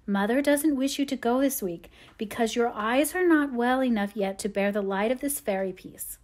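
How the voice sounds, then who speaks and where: A woman acts out a gentle eldest sister from a storybook, with a fairly high voice. She is patient and motherly, reasoning softly and soothingly with a younger child. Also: moderate pace, lightly acted.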